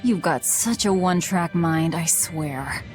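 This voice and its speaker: A woman's voice: fairly low pitch, animated, a little slow, over music. Cold, curt demon girl. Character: cold, guarded young woman demon who devours foes. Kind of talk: video game cutscene acting.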